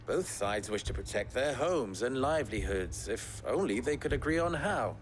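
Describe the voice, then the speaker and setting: A man playing a shrewd, measured town leader in a medieval fantasy game, speaking in a deliberate, wry, theatrical way. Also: mid-range voice, animated, a little hiss or hum, natural voice. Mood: troubled. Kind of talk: dramatic video game cutscene dialogue.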